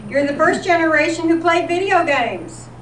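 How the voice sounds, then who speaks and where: A high-pitched woman reads a scripted, nostalgic history as an event presenter. She sounds distant from the mic, in a reverberant room. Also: lively intonation, moderate pace, a bit bright. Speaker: presenter at a volunteer appreciation event. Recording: echoey room, distant mic, background noise.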